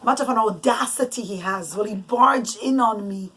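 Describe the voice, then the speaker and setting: A woman's voice: medium pitch, moderate pace, a bit bright, slightly rough. A woman playing a suspicious, irritable male king in a bedtime story, brusque and emphatic. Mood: defiant.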